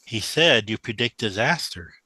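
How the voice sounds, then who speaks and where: A man's voice: fairly low pitch, expressive, normal speed, sharp tone, dry recording, heavily acted. A man playing a young, deferential, bewildered mathematician in a radio drama, hesitant and polite. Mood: confused, wary.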